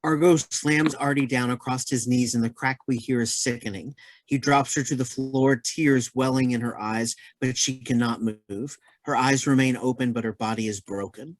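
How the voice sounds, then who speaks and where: A man reading stage directions aloud in a plain, even voice during a staged play reading. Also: medium pitch, moderate pace, dry recording.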